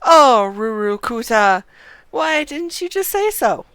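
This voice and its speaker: A woman's voice: on the high side, big pitch swings, slow pace, fairly bright tone, clear, resonant tone, no room sound, heavily acted. A woman voicing a confident, reassuring martial-arts guild comrade from a video game, speaking in an assured, declarative way.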